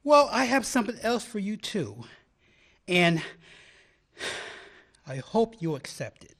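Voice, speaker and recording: A man's voice: sits high, sing-song, slow pace, a bit bright, full character voice. A man acting an earnest, gushing suitor who stammers and hesitates, in a radio play. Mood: tender. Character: earnest, smitten, gushing male suitor, Colin.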